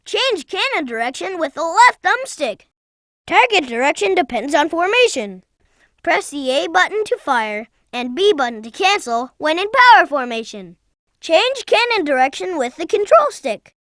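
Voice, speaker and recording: A performer voicing an eager, helpful young boy fox in a video game, speaking earnestly and clearly in scripted lines. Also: very high-pitched, very animated, moderate pace, a bit bright, no room sound, studio quality, heavily acted. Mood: helpful, curious.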